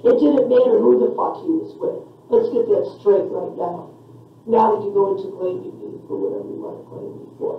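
A woman's voice: medium pitch, fairly quick, a little hiss or hum. A woman venting angrily and defiantly in a therapy session, with plenty of profanity. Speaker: therapy patient on a session tape. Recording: echoey, distant, muffled session tape.

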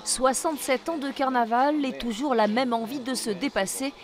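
A woman reporter giving a scripted news voice-over with lively, punchy phrasing. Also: sits high, moderate pace, music in the background.

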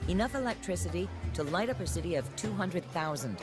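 A man doing measured, scripted narration for a documentary voice-over. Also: high-pitched, animated. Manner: measured, explanatory. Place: studio voice-over. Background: music.

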